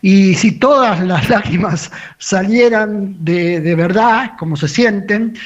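High-voiced Argentine man on a dull remote line. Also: slow pace. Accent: Argentine (Río de la Plata). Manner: fluent, emotive, with fillers like "este". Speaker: radio correspondent. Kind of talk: sports commentary on radio.

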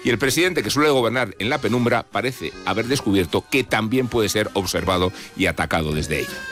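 A low-voiced man reads a scripted radio column at a quick clip. His delivery is literary and ironic, with light music underneath. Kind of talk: scripted opinion column read aloud. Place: radio studio.

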